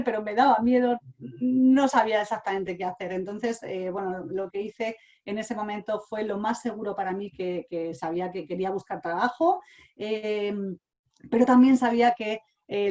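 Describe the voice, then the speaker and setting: Woman in her forties, online talk, halting, full of fillers, mid-pitched voice. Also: warm tone, lo-fi sound. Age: 40s. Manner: hesitant, many fillers and restarts. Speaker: speaker. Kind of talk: online talk sharing personal experience. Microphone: online talk mic.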